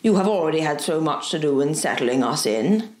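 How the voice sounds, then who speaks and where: A woman's voice: sits low, lively intonation, brisk, a little mellow, reverberant, pitched below the performer's own voice. A woman voicing a mild, bookish male professor in an audiobook, courteous, formal and kindly, with some hesitation. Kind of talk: audiobook character voice.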